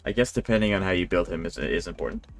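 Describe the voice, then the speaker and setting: Male game streamer, lowish voice, loose rambling chat, music playing behind. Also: animated, fast, creaky. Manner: rambling, conversational. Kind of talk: casual livestream chat. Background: video game music.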